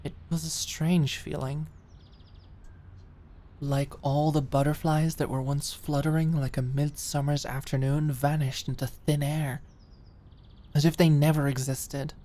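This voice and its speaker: A woman's voice: sits low, flat delivery, unhurried, dark tone. Woman narrating a dramatic audiobook. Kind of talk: scripted dramatic story narration.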